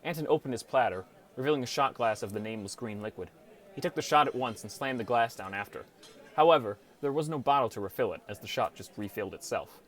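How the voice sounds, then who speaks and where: A man's voice: mid-range voice, quick pace. A man reading a scripted first-person story as an audiobook narrator.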